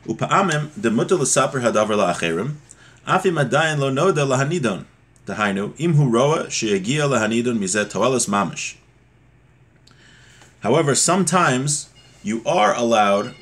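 A man in his thirties teaches religious law informally, explaining texts in a halting, repetitive way, recorded on a computer mic in a small room at home. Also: medium pitch, expressive, fairly bright tone. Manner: halting, explanatory, repeats points. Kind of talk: informal text study, reading Hebrew passages and explaining them.

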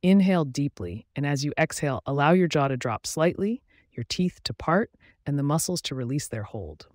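Man, meditation guide, fairly high voice, slow soothing delivery, dry close recording. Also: animated, no echo.

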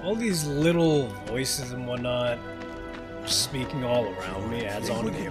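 A young man casually commenting on a game he plays, animated, joking, swearing and rambling. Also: medium pitch, a little slow. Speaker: gaming video host. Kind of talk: casual let's play commentary. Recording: voice over game audio with music.

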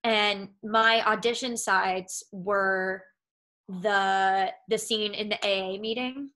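A young woman from Massachusetts speaks with a Boston accent. Her voice is bright and forward. She rambles in easy chat from home, with a steady stream of "like" and "um". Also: mid-range voice, slow pace, low-quality recording. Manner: rambling, heavy use of "like" and "um". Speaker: actress guest. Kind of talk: casual interview chat.

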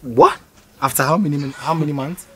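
A man's voice: mid-range voice, fairly even intonation, normal speed, noisy background, close to an everyday voice. A man playing a stammering, polite young man. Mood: friendly. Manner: stammering and apologetic. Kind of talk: scripted skit acting.

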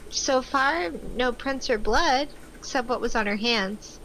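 A woman's voice: sits high, measured pace, fairly bright tone, a little hiss or hum, rough recording. A woman playing a curt, matter-of-fact forensic technician in a table read of a film script.

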